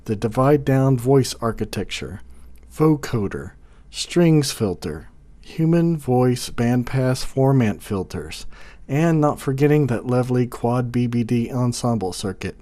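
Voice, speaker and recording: A male reviewer narrates a scripted product review from his home studio. His tone is mellow and soft-edged, and his phrasing is casual and folksy. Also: medium pitch.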